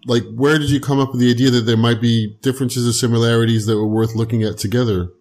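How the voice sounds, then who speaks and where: A man's voice: mid-range voice, fairly even intonation, fast talker, a little mellow. A man hosting a casual podcast interview, often affirming with yeah and restarting his sentences. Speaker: podcast host.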